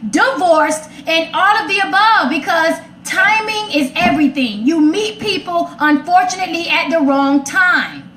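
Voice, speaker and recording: A woman's voice: very high-pitched, expressive, unhurried, few pauses, bright, forceful tone. A woman talking casually and personally as a host, direct and opinionated, repeating points for emphasis. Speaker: talk show host addressing live comments. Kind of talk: casual, personal relationship talk. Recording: echoey room, faint background noise.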